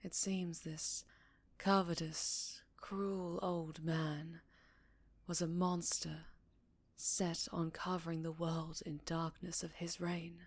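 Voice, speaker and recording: Casting: actress as melancholy, tender vampire woman for ASMR roleplay; low register, Southern US accent, hushed and unhurried. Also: level tone. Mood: somber, confiding. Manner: slow, hushed, affectionate, with pauses and sighs. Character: gentle, melancholy English-born female vampire, tender and wary. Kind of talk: intimate ASMR roleplay, soft and partly whispered.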